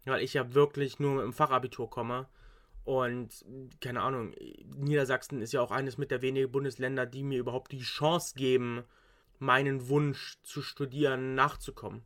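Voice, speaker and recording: A man's voice: medium pitch, level tone, moderate pace, fairly bright tone. Young male student, loose rambling talker, everyday conversational register. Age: young adult. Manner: rambling, frequent restarts and English slang. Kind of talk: casual chat.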